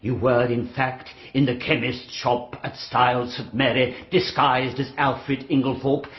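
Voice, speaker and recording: An actor playing a relentless prosecuting barrister with an English accent, pompous, incredulous and deliberate, in a radio drama. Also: male voice, mid-range voice, level tone, natural voice. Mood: accusing. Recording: slightly dull, echoing courtroom-like room sound.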